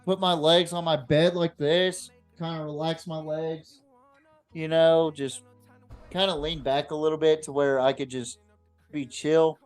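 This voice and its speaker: A man with a slightly high voice hosts a loose football podcast. He talks in blunt, slangy, swear-filled bursts, often repeating words as he finds his way. Some music sits behind him. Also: little pitch movement, a little slow, smooth. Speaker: podcast host. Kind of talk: casual unscripted sports talk chat.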